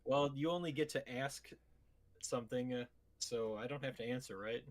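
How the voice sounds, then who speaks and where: A man's voice: mid-range voice, normal speed. A man hosting a casual podcast, chatting in a wry, bantering way. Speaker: podcast host.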